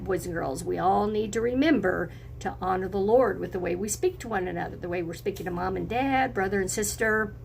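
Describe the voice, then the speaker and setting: A woman's voice: medium pitch, creaky, a little hiss or hum. A woman teacher reading a picture book to young children, speaking expressively in simple phrases and putting encouraging questions to her listeners. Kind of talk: read-aloud lesson for children, with questions to listeners.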